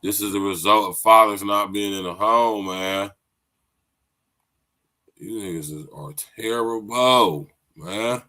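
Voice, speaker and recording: Young guy podcast host, low voice but bright edge, keeps going "man" in disbelief. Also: slow pace. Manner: incredulous, laughing, slangy asides. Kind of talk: reaction commentary.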